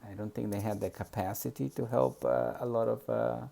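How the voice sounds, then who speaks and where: Man with a Spanish accent, gravelly voice, dark and mellow tone, hesitant with many fillers, personal memoir. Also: on the low side, big pitch swings, fairly quick. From Peru. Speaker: memoir storyteller. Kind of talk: personal reminiscence.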